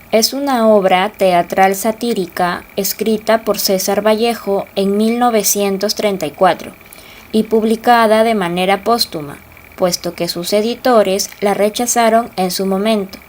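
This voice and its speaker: A woman's voice: medium pitch, a little slow, a little mellow, a little hiss or hum. A young woman, giving scripted expository narration as a student presenter. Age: young adult.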